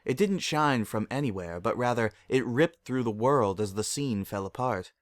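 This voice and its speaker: A man's voice: mid-range voice, lively intonation, moderate pace, no room sound, clean studio sound. A man narrating a scripted story as a dramatic reading. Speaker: narrator of fan fiction.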